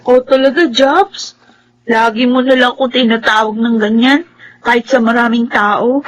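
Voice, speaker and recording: A woman's voice: a little high, moderate pace, slight background noise, natural voice, poor audio. A Filipino actress playing a sweet, bashful young woman in a radio drama. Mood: bashful, amused.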